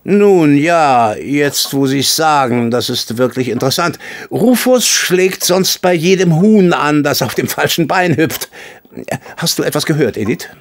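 An actor playing a commanding, sardonic colonel and racehorse owner in a German audio drama. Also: male voice, fairly high pitch, lively intonation, unhurried, dry recording.

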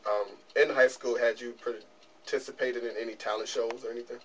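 A man's voice: medium pitch, level tone, fairly quick. Middle-aged male host, plainspoken and joking, far camera mic, faint room noise. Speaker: interview show host. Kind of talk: casual interview. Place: small office studio.

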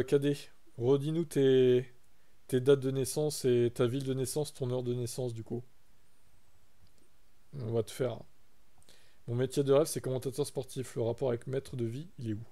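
A man's voice: mid-range voice, mellow. A young man from France chatting casually on a live stream, reacting to his chat and reading text aloud.

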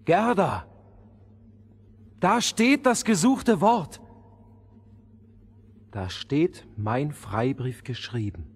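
A man's voice: a little high, expressive, very slow, pauses often, breathy edge, voice pushed up, full character voice. A man voicing a dazed, tender boy waking from a spell in a fairy-tale audio drama. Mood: joyful.

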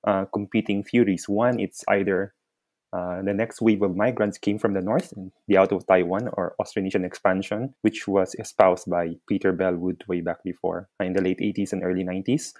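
A man's voice: deep voice, level tone, moderate pace, darkish tone, no room sound. A man from the Philippines, a researcher, explaining his work in an interview with frequent fillers. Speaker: researcher guest. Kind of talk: interview answers.

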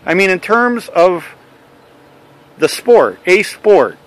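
A man interviewing a canoe racer, building his questions slowly and rambling a little. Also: high-pitched, very animated, low-quality recording.

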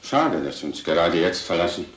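An actor playing a composed, genial police commandant, courteous and official, in an old crime drama. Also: male voice, low-pitched, very animated, a little fast. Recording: roomy old soundtrack, some noise.

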